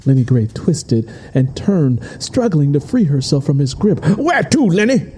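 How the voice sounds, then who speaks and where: A man's voice: medium pitch, big pitch swings, unhurried, warm tone, breathy edge. A man reading a scripted, dramatic story as its narrator. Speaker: audiobook narrator.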